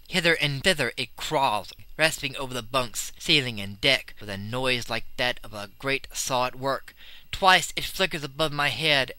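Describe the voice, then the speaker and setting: A man's voice: medium pitch, pushed and bright, no echo, low-quality recording. A man playing a terrified young sailor, telling a horror tale of the sea with intense dread. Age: young adult. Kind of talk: dramatic first-person horror storytelling.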